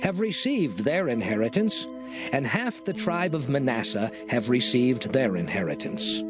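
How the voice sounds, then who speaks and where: Declaiming scripture voice for divine commands, lively pitch over a music bed. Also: male voice. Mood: solemn. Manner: measured, declaiming. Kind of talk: dramatized scripture reading.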